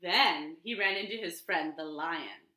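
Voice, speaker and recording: A woman hosting a children's storytime, reading aloud and talking in a deliberate, sing-song way, recorded at home in a living room. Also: fairly low pitch, pushed and bright. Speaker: library storytime host. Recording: roomy, echoey home recording.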